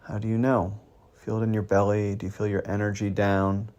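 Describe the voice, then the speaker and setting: A man leading a guided meditation as a mindfulness teacher, gently prompting with questions and pauses. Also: lowish voice, level tone, lo-fi sound.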